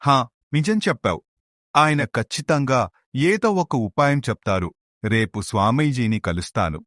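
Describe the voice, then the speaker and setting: A man's voice: medium pitch, expressive, a little slow, a bit bright, no room sound, studio quality. A man voicing a worried, dutiful king and troubled father in a cartoon moral story. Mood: resolved.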